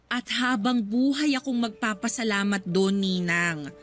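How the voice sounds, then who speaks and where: A woman's voice: mid-range voice, fairly even intonation, unhurried, a bit bright, lightly acted. A woman with a Filipino accent playing a polite, evasive working goddaughter in a radio drama, respectful but defensive and pleading. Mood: uneasy, pleading.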